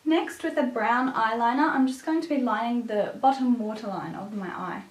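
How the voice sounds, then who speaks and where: A woman with a raspy voice, a bit sick with a cough, presenting a makeup tutorial as a beauty vlogger. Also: a little high, normal speed. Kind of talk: scripted makeup tutorial narration. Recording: roomy echo, distant microphone.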